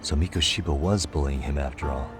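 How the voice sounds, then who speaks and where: Dogged investigator, low voice, serious and insistent, game drama with music behind. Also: male voice. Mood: pressing. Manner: pressing, deliberate, serious. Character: dogged, probing male investigator, calm but insistent. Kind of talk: dramatic game cutscene acting.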